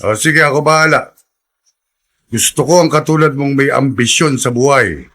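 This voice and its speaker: A man's voice: medium pitch, normal speed, fairly bright tone. An actor playing a kindly, middle-aged gas dealer, fatherly and encouraging, in a radio drama. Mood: warm, encouraging.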